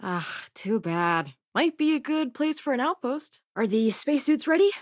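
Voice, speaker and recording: Sardonic young female crewmate, video game lines, casual, self-assured, animated pitch, dry close recording. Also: normal speed, no echo. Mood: wry, relaxed. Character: sardonic young woman spaceship crewmate and researcher.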